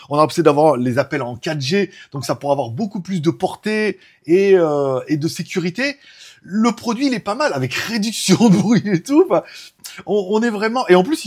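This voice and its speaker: A man with a husky voice, chatting informally and ramblingly as host of a tech show. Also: sits high. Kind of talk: casual tech chat.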